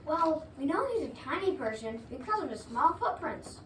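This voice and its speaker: A woman's voice: high voice, big pitch swings, moderate pace. A woman reading a scripted part in a children's readers' theater. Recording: echoey room, distant mic, background noise.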